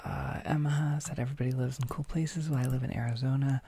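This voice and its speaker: A young adult with an American accent chats casually on a livestream, reading and answering comments in a soft-spoken, hesitant, rambling way. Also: medium pitch, steady tone, fast, breathy edge, no room sound. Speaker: ASMR audio creator livestreaming.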